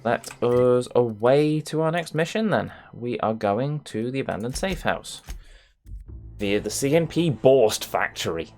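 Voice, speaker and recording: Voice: medium pitch, animated, measured pace, darkish tone, faint music behind. A video game streamer giving casual, sluggish commentary, muttering to themselves with playful mock-formal turns of phrase. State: sluggish, not fully awake.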